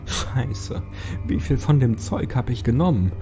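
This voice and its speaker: A man's voice: mid-range voice, animated, a little slow, over music, pitched above the performer's own voice. A man voicing a bitter, disillusioned fugitive in a horror audiobook, with a wry, incredulous manner. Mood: wry disbelief.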